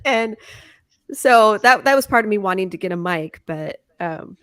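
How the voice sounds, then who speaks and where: A woman with a naturally high-pitched voice, talking in a halting, casual way on a panel and laughing often. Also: expressive, fairly bright tone, clean tone. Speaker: panel guest.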